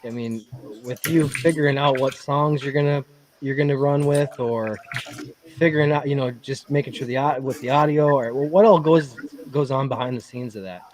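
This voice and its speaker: A man's voice: mid-range voice, steady tone, normal speed, slight background noise, low-quality recording. A man co-hosting a casual chat, asking curious, loose questions.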